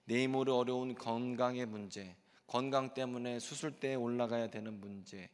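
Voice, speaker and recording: A middle-aged male pastor delivers a sermon in an earnest, explanatory tone and keeps turning to the congregation with rhetorical questions. His voice sits at an ordinary male pitch. Long reverb from the church hall trails behind each phrase. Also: steady tone, normal speed, clean tone. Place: church sanctuary.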